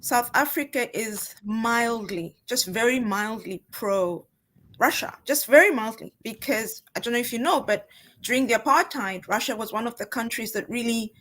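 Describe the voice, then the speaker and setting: A woman's voice: fairly high pitch, moderate pace, a bit bright. A woman speaking as a guest in a casual conversation, feeling her way through answers and correcting herself as she goes. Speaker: guest author and journalist. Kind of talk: casual interview chat.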